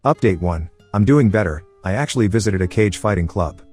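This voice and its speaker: A man narrating a forum post in the first person as a scripted story, with a brisk, steady reading manner. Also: fairly low pitch, slightly rough, soft background music.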